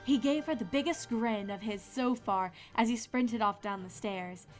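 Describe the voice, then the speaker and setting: A woman's voice: a little high, normal speed. A woman reading scripted story narration as the narrator of a fan fiction. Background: music.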